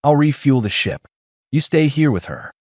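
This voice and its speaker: A man voicing a weary, gruff human ex-mercenary who speaks low and clipped. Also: sing-song, normal speed, a little mellow, dry recording, studio quality.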